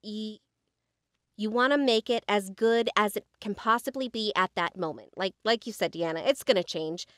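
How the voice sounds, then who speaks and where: A woman's voice: medium pitch, moderate pace, fairly bright tone, no room sound. Earnest American woman, dry sound. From United States. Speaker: podcast co-host, voice actor. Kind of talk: casual chat.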